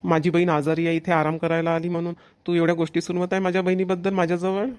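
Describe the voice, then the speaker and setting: A man plays a young husband in a cartoon family comedy. He talks rapidly and on an even pitch, firmly reasoning and insisting in defence of his family. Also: clean tone, natural voice. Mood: firm, defensive.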